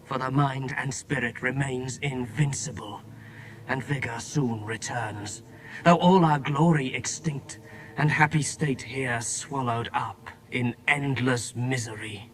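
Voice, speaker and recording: An actor playing an anguished fallen angel, declaiming formal verse in a classical radio drama. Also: male voice, medium pitch, slow pace, a bit bright, a little hiss or hum. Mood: subdued, mournful. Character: Beelzebub, anguished fallen angel, proud yet despairing lieutenant.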